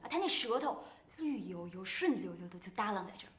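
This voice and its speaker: A woman with a strong Mandarin Chinese accent playing an actress in a rehearsal, telling a lively folk tale in Mandarin. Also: mid-range voice, fast talker, roomy sound. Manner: animated folk storyteller in Mandarin. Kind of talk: short film acting.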